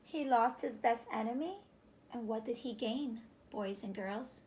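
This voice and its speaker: A high-voiced woman reads a picture book to children. She takes her time, speaking expressively and stopping to put questions to her listeners. There is clear room noise in the recording. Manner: animated, questioning, slow and deliberate. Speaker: teacher reading a picture book aloud. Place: small room indoors, on a couch.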